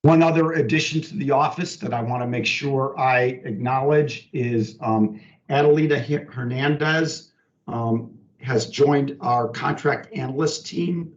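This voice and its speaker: A man making staff announcements on a work conference call in a halting, deliberate way. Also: mid-range voice. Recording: echoey, distant, dull conference call.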